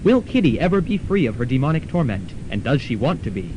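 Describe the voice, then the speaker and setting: A man's voice: very animated, fast. A young man narrating a comedy radio serial in a dramatic, scripted announcer style. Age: young adult. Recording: rumbling, noisy college radio tape.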